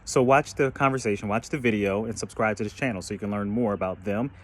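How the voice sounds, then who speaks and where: A man's voice: lowish voice, quick pace, fairly bright tone, slight background noise. A man in his late thirties hosting a panel discussion in a conversational way. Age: late 30s. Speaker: host of a generational discussion show.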